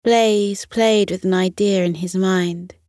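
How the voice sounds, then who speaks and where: A woman narrating a scripted children's bedtime story in a gentle, soothing manner. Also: medium pitch, steady tone, takes it slowly, no echo, clean studio sound.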